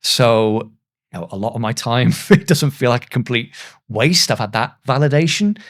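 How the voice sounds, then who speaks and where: A man with a mid-pitched voice talks in a reflective interview style. He comes across as earnest and self-deprecating, often pausing haltingly mid-thought before carrying on conversationally. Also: expressive. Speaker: voice actor, podcast guest.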